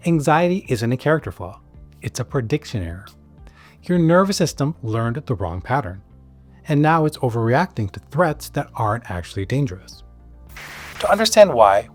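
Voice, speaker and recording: A man narrating a scripted educational explainer as a psychiatrist host, stressing key words with dramatic pauses. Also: mid-range voice.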